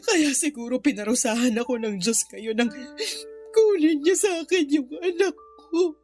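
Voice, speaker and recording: A destitute, guilt-ridden mother in a radio drama. Her high voice is tearful and pleading, crying as she confesses her shame, with music playing underneath. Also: lively intonation, normal speed, darkish tone. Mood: grieving, guilty. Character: remorseful impoverished mother, a runaway aunt, ashamed and grieving.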